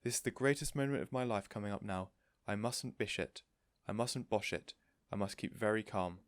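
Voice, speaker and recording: A man voicing a kindly, eager gentleman in a storybook, speaking in an earnest, courteous and reassuring way. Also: lowish voice, normal speed, no echo, lightly acted. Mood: nervous, determined. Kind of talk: storybook character voice.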